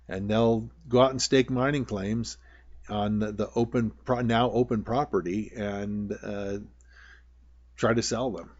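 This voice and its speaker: A man's voice: fairly low pitch, a little mellow. A man who works as a prospector gives an informal, plain-spoken tutorial over slides, often circling back to repeat his points. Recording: dull, lo-fi voice-over.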